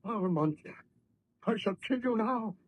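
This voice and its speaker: Husky, higher-pitched tormented scientist. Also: male voice, animated, measured pace, exaggerated voice. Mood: defiant. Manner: theatrical, portentous, formal. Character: tormented, fearful scientist, intense and formal husband. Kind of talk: old-time radio horror acting.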